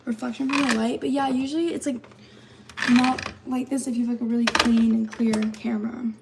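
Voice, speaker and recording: A woman in her twenties with a raspy, slightly hoarse voice, chatting casually on a livestream, filling her talk with "like". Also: on the high side, little pitch movement, fairly quick, heavy background noise. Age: 20s. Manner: chatty, lots of fillers like "like".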